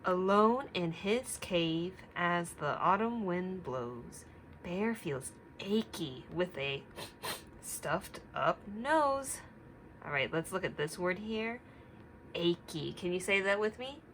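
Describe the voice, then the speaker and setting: A woman teacher reading a picture book aloud to young children, instructive and deliberate, stopping to put questions to them. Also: fairly low pitch, lively intonation, fairly bright tone, slight background noise.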